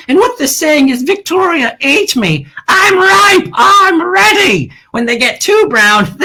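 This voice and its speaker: A high, lilting voice that swoops up and down: a woman doing a vain, over-the-top kids' show hostess. She drags out her words and bursts into cackling laughs, bright and sharp in tone. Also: breathy edge, full character voice. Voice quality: theatrical, drawn-out words, breaks into cackling laughs. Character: flamboyant, self-adoring female TV hostess, grand and theatrical. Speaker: children's show host parody. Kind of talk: comic character sketch.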